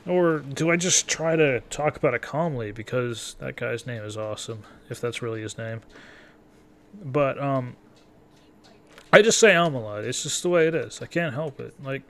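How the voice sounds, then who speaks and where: A man in his early thirties giving casual, rambling gameplay commentary in an informal, halting, profane, self-deprecating way. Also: mid-range voice, fairly bright tone. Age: early 30s. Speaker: gaming video creator.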